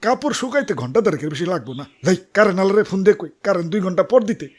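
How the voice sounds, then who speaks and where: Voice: mid-range voice, big pitch swings, racing, music in the background, natural voice. A performer voicing a carefree, cheeky cartoon youngster with casual speech. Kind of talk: comic cartoon dialogue.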